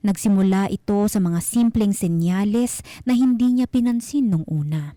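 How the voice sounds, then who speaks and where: A woman narrating a scripted horror story for radio, with deliberate, suspenseful phrasing. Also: fairly high pitch, mellow, dry recording.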